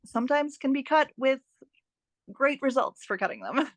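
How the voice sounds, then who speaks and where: A woman's voice: high voice, animated, moderate pace, no room sound. A woman speaking as an expert guest, explaining advice conversationally with emphasis and rhetorical questions. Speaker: guest editing expert and author.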